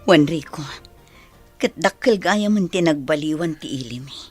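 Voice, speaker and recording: A woman's voice: deep voice, expressive, moderate pace, a little mellow, faint music behind. A woman with an Ilocano accent playing an earnest, homesick grown daughter in a radio drama, with sighing, emotional delivery. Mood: wistful.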